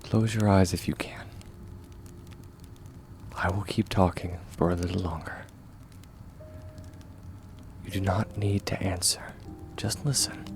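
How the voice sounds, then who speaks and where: A man voicing a kind, steadfast young warrior in a comfort ASMR roleplay, speaking gently and reassuringly in a measured, often hushed way. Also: low voice, steady tone, vocal fry, faint music behind, full character voice. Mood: soothing, earnest.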